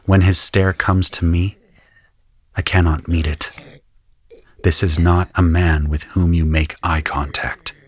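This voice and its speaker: A man playing a grim, observant conscript soldier who narrates an audio drama in a measured, solemn way. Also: low-pitched, flat delivery, husky, dry recording. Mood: grim, watchful. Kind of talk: first-person scripted audio drama narration.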